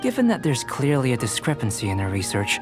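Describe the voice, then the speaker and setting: A young male alchemist muses in a mid-pitched voice with formal, measured phrasing. He is a calm, detached and curious game character, with music clearly behind him. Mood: clinical curiosity. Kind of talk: video game voice acting.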